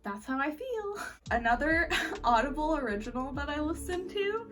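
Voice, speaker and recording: A woman's voice: sits high, big pitch swings, normal speed, fairly bright tone. Woman, casual vlogger, chatty reviewing style, informal phrasing, a bit off-mic, music playing underneath. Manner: informal, conversational, frequent filler "like". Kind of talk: casual chatty monthly reading wrap-up. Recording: distant mic with music behind. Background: background music.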